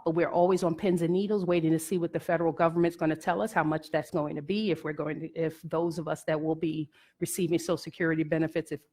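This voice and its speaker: A woman's voice: low voice, a little mellow. A woman giving an informal educational talk as a presenter, explaining step by step with emphasis and checking that listeners follow.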